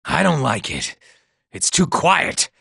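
A man voicing a nervous, boastful male robot soldier in a video game, shouting in panic and tossing out sarcastic asides. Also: a little high, huge pitch swings, takes it slowly, gravelly, breathy edge, no room sound, studio quality, full character voice. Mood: wary.

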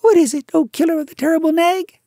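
A woman voicing a feather-brained little male tailorbird who sings and gushes praise in a shrill, sing-song voice. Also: fast talker, warm tone, no room sound, studio quality. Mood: exultant. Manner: exultant, gushing praise. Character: giddy little male tailorbird, boastful singer.

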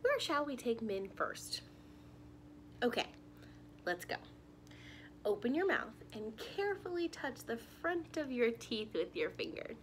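A woman's voice: a little high, big pitch swings, unhurried, long pauses, slight background noise. A young woman reading a children's picture book aloud, expressively, addressing little listeners directly with questions. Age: young adult. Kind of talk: children's story read-aloud. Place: living room at home.

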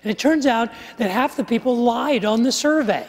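Older pastor preaching in a reverberant hall. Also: male voice, very high-pitched, normal speed, some chatter behind. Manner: statistics-heavy preaching, animated gestures, wry asides. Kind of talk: sermon. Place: large church stage before a chalkboard. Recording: live sermon with long hall reverb.

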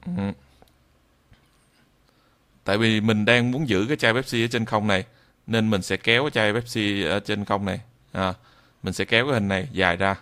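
A man with a southern Vietnamese accent giving an improvised software tutorial, halting and repeating phrases as he demonstrates. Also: on the low side, clear, resonant tone, soft background music. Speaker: tutorial instructor.

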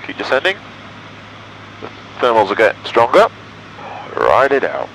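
A man's voice: medium pitch, huge pitch swings, unhurried, a bit bright, low-quality recording. A man in his thirties with an English accent gives in-flight commentary in clipped procedural phrases with upbeat asides, through a headset in a small aircraft cockpit. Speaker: pilot and vlogger. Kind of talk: in-flight commentary and radio calls. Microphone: aviation headset. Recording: engine noise over aviation headset audio. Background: aircraft engine drone.

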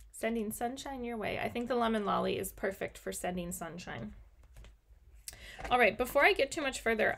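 Young woman, craft teacher, chatty step-by-step delivery, slow pace, slight vocal fry. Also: medium pitch. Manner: chatty, talks viewers through each step. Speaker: card-making class teacher. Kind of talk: live crafting class demonstration. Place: indoor craft desk. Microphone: overhead camera on desk, mic off screen.

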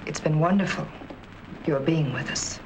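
An actress playing a young wife in a 1940s film, speaking softly and hesitantly. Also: female voice, deep voice, moderate pace, background noise, lightly acted. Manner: soft, hesitant.

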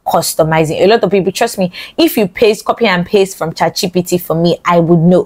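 A woman's voice: fairly low pitch, a little mellow. A young woman giving a casual social media tutorial, chatty and repetitive, stuttering and restarting her sentences, speaking close into a studio mic. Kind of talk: casual talking-head tutorial. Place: small indoor studio with colored lights. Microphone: large studio mic close in front.

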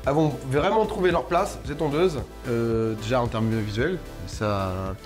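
A man's voice: mid-range voice, a little slow. A young man chatting casually as he co-hosts a barber product review, bantering and often searching for his words, recorded on a lapel mic indoors. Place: indoor studio set. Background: background music.

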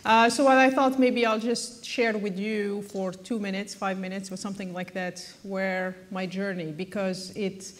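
A woman's voice: mid-range voice, steady tone, unhurried, hardly any pauses. Woman, middle-aged, from Morocco, panel speaker, earnest, encouraging, restarts phrases, echoing hall. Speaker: panelist, biotech executive. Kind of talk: conference panel talk. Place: large hall, conference stage. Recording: long hall reverb.

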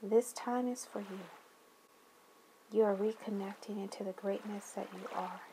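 A woman leading a guided meditation, speaking gently in deliberate phrases with long pauses and soothing affirmations. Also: mid-range voice, fairly even intonation, slight background noise, poor audio.

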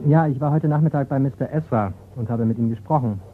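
A man playing a patient, persistent investigator who questions in a measured way in a German radio crime drama. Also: medium pitch, natural voice. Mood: patient, insistent. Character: patient, probing male investigator. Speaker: actor. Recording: muffled, slightly noisy radio play recording.

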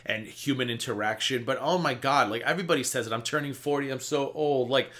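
A man's voice: medium pitch, fairly quick, pushed and bright. A man in his thirties hosting a reaction video, talking in a chatty, sarcastic way and swearing freely. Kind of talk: casual reaction commentary. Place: indoor room before a green screen.

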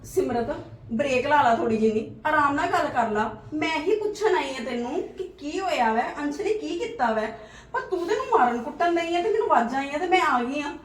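A woman plays a gentle, patient sister-in-law and mother who coaxes and soothes in a high voice. She talks from a little way off the mic. The room rings with echo. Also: expressive, a little fast, recorded from a distance. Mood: placating. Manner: gentle, placating, reasoning. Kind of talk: family drama skit.

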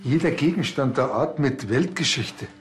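A man's voice: medium pitch, normal speed, faint music behind, close to an everyday voice. An actor playing an easygoing, slightly self-important Bavarian policeman, folksy and reassuring, in a TV crime comedy. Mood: reassuring, chatty. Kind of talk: television crime comedy acting.

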